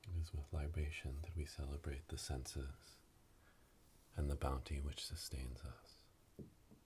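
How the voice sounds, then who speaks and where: Casting: male performer as solemn coven witch for ASMR roleplay, very deep, hushed breathy whisper, flat ceremonial delivery. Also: takes it slowly, slight background noise. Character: solemn witch elder leading a coven initiation. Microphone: close binaural microphone.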